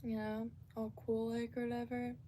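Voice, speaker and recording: A woman's voice: fairly high pitch, completely flat delivery, moderate pace, mellow, a little hiss or hum. A woman from Florida, chatting casually and rambling on a live stream with lots of fillers and restarts.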